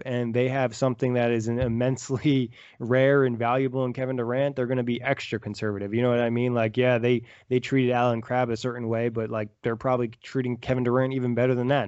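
A man co-hosting a casual sports podcast, talking quickly and agreeably with many fillers, on a dry lo-fi recording. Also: medium pitch, darkish tone, dry recording. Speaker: podcast co-host. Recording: dry, dull lo-fi remote audio.

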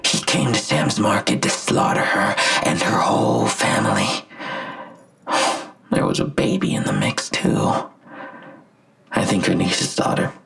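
An actor playing a smug, menacing vigilante villain in an audio drama, articulate and self-righteous, with a gravelly, husky voice and a deliberate, taunting delivery. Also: male voice, low-pitched, fairly bright tone, full character voice. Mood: cold, matter-of-fact. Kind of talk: full-cast audio drama acting.

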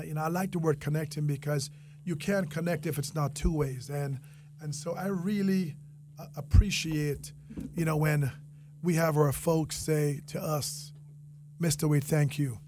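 A man's voice: on the high side, noisy background. A man with a Jamaican accent speaking earnestly as a guest in a conversational interview, repeating phrases for emphasis. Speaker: interview guest, restaurant franchisee and entrepreneur.

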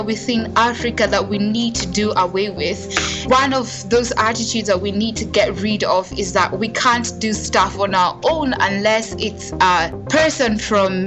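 A young woman from Kenya with a Kenyan accent, telling her own story in an earnest, conversational way that is full of "you know". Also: mid-range voice. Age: young adult. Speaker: interviewee. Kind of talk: casual personal storytelling. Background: background music.

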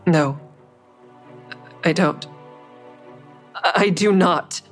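A woman's voice: fairly low pitch, very slow, soft background music. An actress playing an uneasy young woman narrating her own story in a hesitant, confiding way in an audio drama. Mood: uneasy, defiant. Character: uneasy young female first-person narrator in over her head.

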